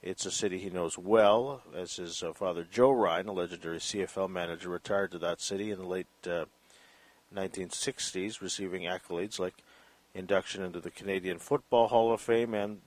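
A man's voice: low-pitched, dry recording. A man hosting an interview program, reading a long guest introduction and asking questions with many fillers and restarts. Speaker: podcast host. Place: Vancouver.

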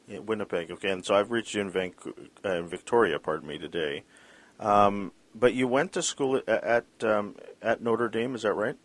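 A man's voice: lowish voice, normal speed. A man hosting an interview program, reading a long guest introduction and asking questions with many fillers and restarts. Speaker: podcast host. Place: Vancouver.

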